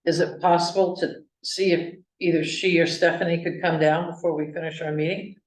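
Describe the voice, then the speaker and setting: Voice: medium pitch, level tone, a little fast. Board member, brief and agreeable, echoey hall, distant mic. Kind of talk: town board meeting.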